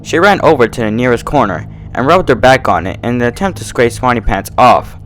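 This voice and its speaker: Animated male story narrator. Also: medium pitch, a little fast. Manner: animated, dramatic. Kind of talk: dramatic scripted story narration.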